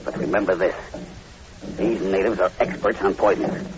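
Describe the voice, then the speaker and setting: An actor playing a grim, uneasy plantation manager in a 1940s radio drama, speaking earnestly and with foreboding. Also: male voice, sits low, fairly even intonation, moderate pace, natural voice. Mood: insistent, warning. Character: grim, uneasy male plantation manager, long-time islander. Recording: muffled, very noisy old radio recording.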